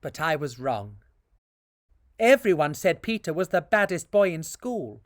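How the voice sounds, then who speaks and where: A woman reading a children's story aloud in an expressive style. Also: low voice, unhurried, no echo, clean studio sound.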